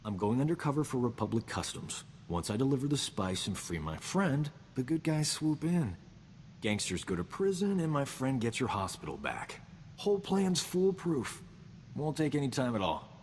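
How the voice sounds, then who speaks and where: A man's voice: mid-range voice, sing-song, moderate pace, a little mellow, big-room sound, a little hiss or hum, lightly acted. A man voicing a roguish, boastful male doctor who talks his way out of everything, in a video game. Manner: boastful, glib, self-satisfied. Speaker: companion character.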